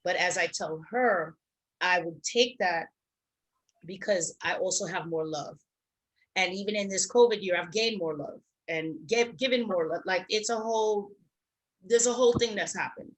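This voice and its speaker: A woman's voice: lowish voice, normal speed, low-quality recording. A middle-aged woman co-hosting a show, speaking in casual, reflective conversation. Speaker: co-host of an online talk show.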